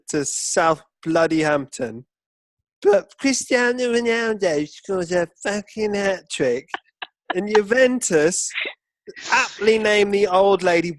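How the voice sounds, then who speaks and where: Grumbling, high-pitched male podcast co-host. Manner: grumbling, blunt, sweary. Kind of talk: casual sports banter.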